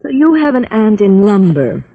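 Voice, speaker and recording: A woman's voice: medium pitch, very animated, normal speed, phone-quality sound. A woman acting a polite, worried wife of a rich man in an old radio drama, courteous and composed. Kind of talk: 1940s-50s radio acting.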